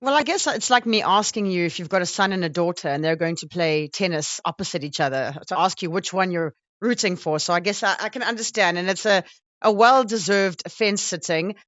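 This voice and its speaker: A woman's voice: lowish voice, a little fast, a bit bright, no echo. A woman, hosting an interview in a casual, informal and playful way. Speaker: interviewer, host. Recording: dry, slightly dull lo-fi sound.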